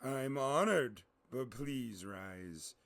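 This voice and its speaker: A man voicing a wise, calm male mentor warrior who speaks softly, slowly and solemnly. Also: medium pitch, no room sound, exaggerated voice. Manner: soft, slow and solemn.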